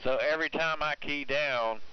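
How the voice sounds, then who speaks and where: A man's voice: a little high, normal speed. An American man chatting casually as an operator on CB radio. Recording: muffled CB radio with very loud static.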